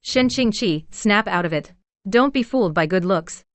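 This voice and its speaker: Woman acting young doctor wife, mid pitched, composed, dry n teasing, romance drama style. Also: animated, moderate pace, dry recording, natural voice. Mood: wry.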